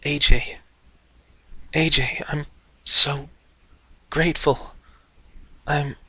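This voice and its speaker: Guy doing a fragile young girl pegasus, halting and breathy, pitched up, storybook style. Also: steady tone, bright, forceful tone, no room sound, exaggerated voice. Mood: shaken, grateful. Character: shaken young female pegasus pony, earnest and fragile.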